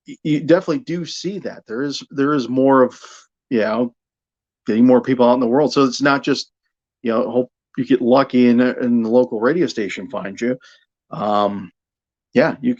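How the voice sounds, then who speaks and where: Middle-aged American man, slightly dull audio. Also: medium pitch. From Buffalo, New York, United States. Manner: halting, repeats words while thinking. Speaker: guest, radio sports broadcaster. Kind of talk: casual interview conversation.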